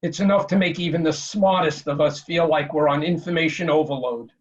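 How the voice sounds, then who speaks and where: A man speaking at a webinar, slightly hoarse from allergies, relaxed and using fillers. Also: on the high side, a little fast, far from the mic, muffled recording. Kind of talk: casual presentation.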